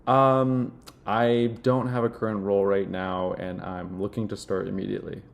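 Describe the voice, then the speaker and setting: Young guy w a low voice, casual demo talk, lots of ums and pauses. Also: little pitch movement, gravelly. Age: young adult. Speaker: software demo presenter playing a job candidate. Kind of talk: casual product demo and phone screen.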